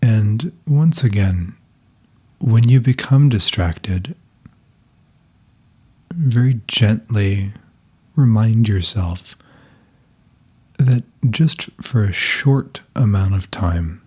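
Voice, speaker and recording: Slow, husky-voiced man guiding meditation. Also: fairly low pitch. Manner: soft, calm, unhurried instruction with long pauses. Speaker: meditation guide. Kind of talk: guided meditation.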